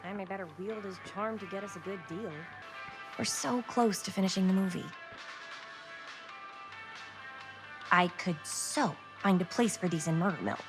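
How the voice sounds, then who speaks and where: An actress playing a wry, driven young amateur filmmaker with an American accent in a video game, teasing and emphatic. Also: female voice, medium pitch, fairly quick, music in the background, close to an everyday voice. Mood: wry, musing. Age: young adult. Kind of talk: naturalistic video game acting.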